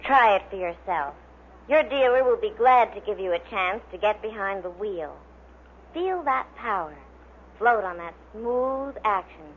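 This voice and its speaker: A woman's voice: mid-range voice, expressive, unhurried. An American woman reading scripted announcements in a vintage broadcast style. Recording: dull, faintly noisy vintage broadcast recording.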